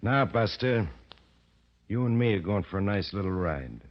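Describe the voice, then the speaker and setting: A man's voice: lowish voice, animated, normal speed, lightly acted. An actor playing a tough, pushy gangster henchman with slangy, clipped talk in a 1940s radio drama. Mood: threatening, commanding. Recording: dull, lo-fi old radio recording.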